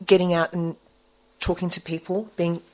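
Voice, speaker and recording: Low-pitched woman in her forties, earnest reflective guest, halting delivery. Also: flat delivery, low-quality recording. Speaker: guest entrepreneur. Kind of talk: interview conversation.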